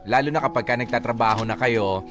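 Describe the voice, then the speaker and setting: A man's voice: mid-range voice, fast, over music. A Filipino man co-hosting a talk show, chatting casually with teasing, conversational interjections. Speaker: radio show co-host. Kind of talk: casual bantering talk, Tagalog-English mix.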